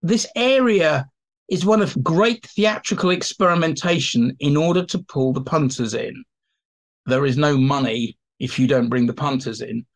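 A man's voice: a little high, moderate pace. A man speaking as an expert guest in an interview, explaining theatre history in a colloquial, anecdotal way. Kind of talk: informal explanatory interview talk.

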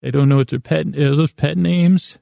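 A man hosting a sleep podcast in a rambling bedtime monologue, meandering and halting with stammers, repeats and silly digressions, in a gravelly voice. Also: a little high, steady tone, mellow, no room sound, clean studio sound.

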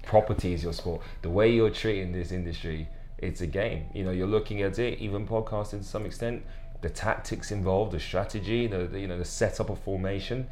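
A man hosting a casual podcast interview, encouraging his guest with frequent quick affirmations. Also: sits low, fairly even intonation, a little mellow, slight background noise. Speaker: podcast host.

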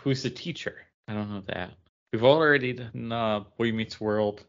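A man's voice: mid-range voice, normal speed. A man answering trivia in casual chat over a lo-fi call. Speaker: podcast panelist answering trivia. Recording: dull lo-fi voice call.

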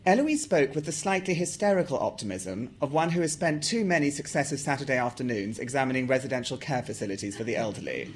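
A man's voice: mid-range voice, animated, quick pace, hardly any pauses, a little hiss or hum. A man with an English accent, from South Africa, talking about his novel and reading from it aloud. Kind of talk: author talk and reading aloud.

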